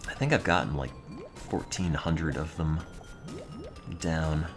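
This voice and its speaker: A man's voice: very deep voice, takes it slowly. A young adult man streaming and answering his chat in a dry, rambling, offhand way. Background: music playing.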